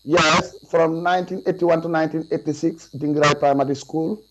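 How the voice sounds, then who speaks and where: A man in his forties with a Gambian accent, giving deliberate, formal answers as a witness over a video call from a room. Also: fairly high pitch, flat delivery. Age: 40s. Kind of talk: testimony over video link. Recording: muffled video call audio with mains hum.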